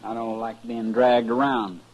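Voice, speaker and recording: A man's voice: medium pitch, flat delivery, unhurried, a little mellow, natural voice. Defiant, balky Old West drifter played by a man. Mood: stubborn, evasive. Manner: folksy frontier speech, curt, defiant. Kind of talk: 1950s radio western acting. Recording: noisy old-time radio recording.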